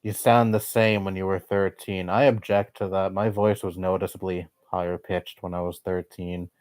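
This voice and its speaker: Young male streamer, low-pitched, blunt chatty rambling, dry close mic. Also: level tone, normal speed, darkish tone, no echo. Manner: blunt, swears often, rambling opinions. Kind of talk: casual live-stream chat.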